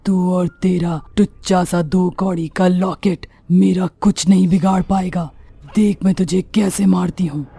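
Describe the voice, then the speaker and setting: Woman playing a dark-voiced menacing witch. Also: lowish voice, fairly even intonation, unhurried, vocal fry, husky, exaggerated voice. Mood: gloating threat. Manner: threatening, imperious. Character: menacing bloodthirsty witch who lures and kills villagers. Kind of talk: Hindi moral story dramatization.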